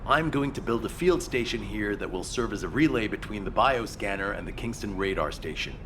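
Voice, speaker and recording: A man's voice: lowish voice, expressive, fairly quick, noisy background, natural voice. A man voicing an earnest, by-the-book operations leader in a video game, businesslike and easily exasperated. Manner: businesslike, crisp briefing delivery.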